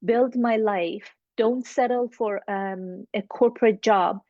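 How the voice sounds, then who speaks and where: A woman's voice: mid-range voice, fairly even intonation, slow pace, no echo, narrow, tinny audio. Earnest Middle Eastern-accented woman coach. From Middle East. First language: not English. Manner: earnest, motivational, repeats for emphasis. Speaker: mindset coach. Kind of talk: online networking circle pitch. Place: home, video call. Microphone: video call.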